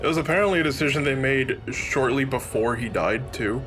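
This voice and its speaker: A man's voice: mid-range voice, fairly quick, a bit bright. A man giving casual commentary on a video game, talking as one of a group of co-commentators. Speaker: let's play co-commentator. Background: video game music.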